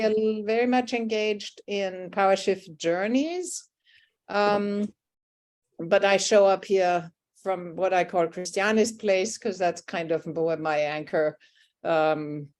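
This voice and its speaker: A woman's voice: medium pitch, darkish tone. A woman giving a casual check-in on a video call, rambling and hesitant with laughs. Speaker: meeting participant.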